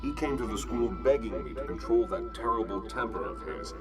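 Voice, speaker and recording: A man's voice: fairly low pitch, expressive, a little fast, over loud music, natural voice. A man voicing a grave older professor and mentor, formal and admonishing, in a motion comic. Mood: grave, advising.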